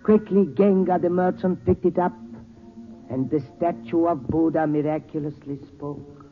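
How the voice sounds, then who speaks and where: A tinny vintage radio recording carries a courteous Tibetan merchant, played by a male actor. His fairly high voice moves in measured, formal phrases, like a storyteller recounting an old legend in a 1940s radio play, with faint music. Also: steady tone, natural voice. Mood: hushed storytelling.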